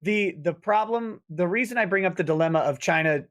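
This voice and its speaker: A man co-hosting a casual livestream chat, explaining points in a measured way with quick restarts. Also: high-pitched, fairly bright tone. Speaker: co-host.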